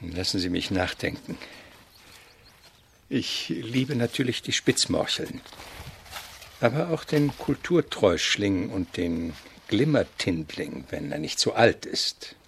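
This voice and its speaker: An actor playing a thoughtful, wry composer who loves mushrooms in a German radio drama, speaking in a gravelly, deliberate, politely teasing way. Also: male voice, sits low, big pitch swings, a little hiss or hum, heavily acted. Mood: musing. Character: thoughtful, wry male avant-garde composer and mushroom lover.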